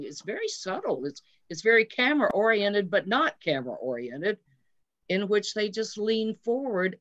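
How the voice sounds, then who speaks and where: A woman's voice: medium pitch, a bit bright. A woman chatting as a director on a panel, explaining in a casual, stop-and-start way over a video call. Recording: dull, lo-fi video-call audio.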